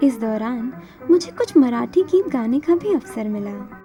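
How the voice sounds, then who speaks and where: Woman, native Marathi speaker, high-pitched, deeply mellow tone, scripted autobiographical narration, music behind. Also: very animated, measured pace. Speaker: singer narrating her life story.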